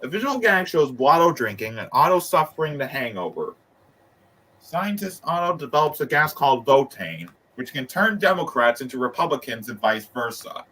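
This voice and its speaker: A man reads aloud in a bright voice with lively swings in pitch. He drops in casual asides, and now and then trips over a word and says it again. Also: moderate pace, breathy edge, rough recording. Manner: stumbles over words and repeats them. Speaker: host of a group read-aloud.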